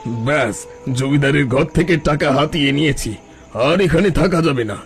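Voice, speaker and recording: A man's voice: on the high side, normal speed, close to an everyday voice. An actor voicing a sly, self-important fake holy man in a cartoon story. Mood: furtive. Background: background music.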